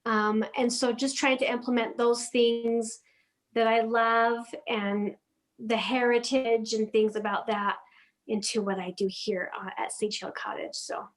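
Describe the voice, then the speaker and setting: A woman's voice: a little high, flat delivery, unhurried. A woman raised in Denmark, a guest on a home decor show, talking in a friendly, chatty way with frequent ums and restarts. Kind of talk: casual interview chat.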